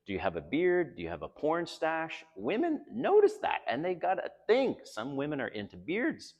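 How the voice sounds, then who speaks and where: A man's voice: on the high side, huge pitch swings, normal speed, darkish tone, big-room sound, dull audio. An older man from Canada with a Canadian accent, giving blunt, frank dating advice straight to his viewers in a casual monologue.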